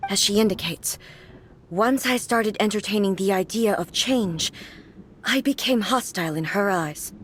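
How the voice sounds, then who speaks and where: An actress playing a stern, regal female warrior goddess who speaks in formal, solemn, measured pronouncements in a game cutscene. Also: medium pitch, slight background noise, close to an everyday voice. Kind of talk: video game cutscene dialogue.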